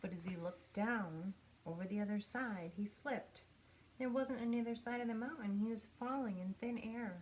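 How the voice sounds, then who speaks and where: A woman reading a children's picture book aloud in an expressive storytelling manner, with pauses for effect. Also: fairly high pitch, a little hiss or hum, low-quality recording. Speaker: storybook reader.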